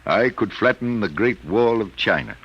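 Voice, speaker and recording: A man's voice: on the low side, expressive, moderate pace, slightly rough, muffled recording. An American actor playing a mild, middle-aged college professor troubled by his own power, speaking formally and hesitantly in 1950s radio drama style. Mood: apologetic, uneasy. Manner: formal, precise, hesitant wording.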